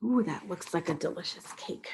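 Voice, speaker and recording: Slightly husky woman reading a picture book. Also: lowish voice, expressive, normal speed, a little mellow. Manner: dramatic, explains to young listeners. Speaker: children's storyteller. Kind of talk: expressive picture-book read-aloud.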